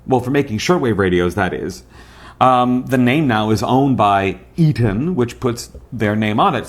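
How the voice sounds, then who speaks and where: A man presents a gadget review with a medium-pitched voice. He talks casually and chattily, puts questions to himself and answers them, and stresses his points by repeating them. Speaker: host of a radio review show. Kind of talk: casual on-camera product review.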